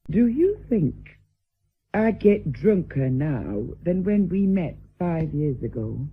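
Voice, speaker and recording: A thin, phone-like radio drama recording of a vulgar, tipsy lady of middle age. Her voice is gravelly and very raspy, and she declaims with coarse bluntness and wounded vanity. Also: fairly low pitch, sing-song, slow pace, exaggerated voice. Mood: wry, boozy. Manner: declamatory, swinging between coarse bluntness and maudlin grandeur. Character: vulgar, boozy, blowsy lady mistress, vain yet fearful. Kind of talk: theatrical radio drama acting.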